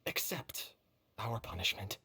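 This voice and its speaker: A man's voice: lowish voice, expressive, takes it slowly, bright, forceful tone, a little hiss or hum, exaggerated voice. A man playing a resigned, contrite orphan in a video game drama, subdued and solemn. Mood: resigned, remorseful.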